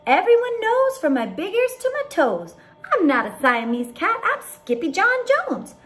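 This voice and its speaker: A woman voicing a swaggering young male kitten who thinks he's a chihuahua, in a put-on Spanish accent, squeaky and sing-song. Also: sing-song, normal speed, fairly bright tone, exaggerated voice. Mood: proud chant. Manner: grand, swaggering boasts.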